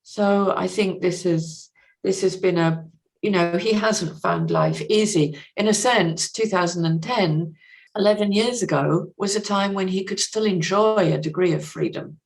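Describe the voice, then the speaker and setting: A woman speaking as a literary interview guest, explaining things in an articulate, considered way and pausing to find the right words. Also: lowish voice, creaky, poor audio. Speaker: podcast guest, literary translator.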